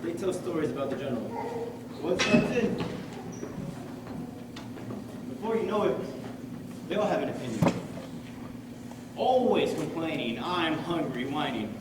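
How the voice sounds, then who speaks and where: A man's voice: a little high, very animated, moderate pace, long pauses. Sly jailer of voices, acted by a man, distant recording. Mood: scornful. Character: smooth-talking male voice keeper, menacing jailer of voices. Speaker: student actor in a high school one-act play. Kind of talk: stage play acting. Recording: roomy, noisy stage recording from afar.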